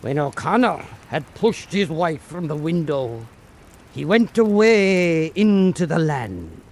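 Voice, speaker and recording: A man telling a folk tale as scripted narration, in a measured and dramatic manner. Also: on the high side, very animated. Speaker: storyteller.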